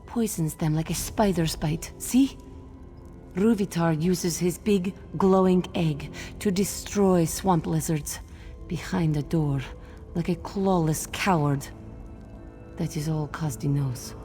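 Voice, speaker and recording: A woman's voice: lowish voice, slow pace, dark tone, soft background music. A woman playing a wretched, bitter cat-folk captive in a fantasy video game, dramatic and scornful, referring to herself in the third person. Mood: scornful, conspiratorial. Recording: long cavernous reverb.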